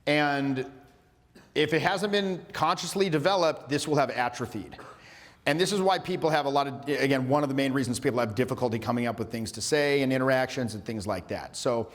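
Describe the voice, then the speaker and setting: A man coaching social skills in a playful, energetic workshop style, loose and full of filler words and quick restarts. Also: mid-range voice, big-room sound. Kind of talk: energetic live workshop teaching.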